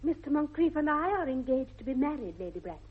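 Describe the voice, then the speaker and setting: A woman's voice: high-pitched, very animated, fast. An actress playing a sweet, ingenuous young lady in a 1960s radio comedy. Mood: sweet, romantic. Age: young adult. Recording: tinny, band-limited vintage radio recording.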